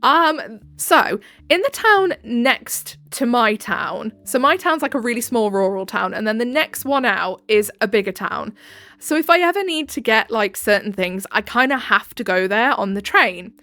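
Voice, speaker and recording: A woman's voice: a little high, lively intonation, normal speed, sharp tone, dry recording. A woman with a stuffed-up head cold, chatting casually between reddit posts with giggly, self-deprecating asides into a close microphone. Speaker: reddit story narrator. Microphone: close home microphone.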